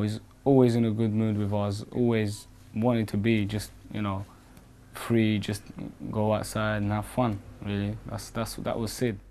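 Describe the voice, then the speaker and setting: A young man with a lowish, mellow voice talks about his friend in a relaxed way. His speech is full of fillers like "you know" and "basically". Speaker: interviewee, friend of protester. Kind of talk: casual interview.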